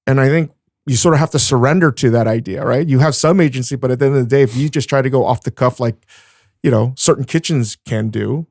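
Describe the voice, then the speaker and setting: A man hosting a casual podcast talk, his voice gravelly with a fry at the ends of phrases. He talks frankly and with animation, cursing freely. The sound is dry and close, with no room echo. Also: medium pitch, fast talker, darkish tone. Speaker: podcast host and chef.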